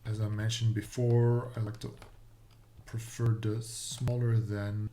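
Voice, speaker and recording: Slow, halting man thinking aloud. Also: lowish voice, little pitch movement, warm tone, slight background noise. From Netherlands or Dutch-speaking Low Countries. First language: Dutch. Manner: halting, musing, trailing off mid-thought. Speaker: programmer live-streaming a coding session. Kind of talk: thinking aloud while coding. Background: computer keyboard typing and clicks.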